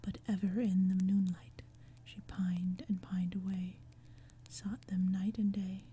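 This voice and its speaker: Woman, ASMR poetry reader, breathy whisper, very mellow tone, even and gentle delivery, faint fire and forest sounds. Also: mid-range voice. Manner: gentle, measured, hushed storytelling. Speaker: audiobook reader. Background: crackling fire, forest ambience.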